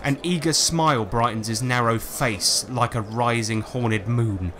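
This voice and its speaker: A man narrating a tabletop game as game master, reading dramatic descriptions in a measured, scripted way. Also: mid-range voice, fairly bright tone, a little hiss or hum. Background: music.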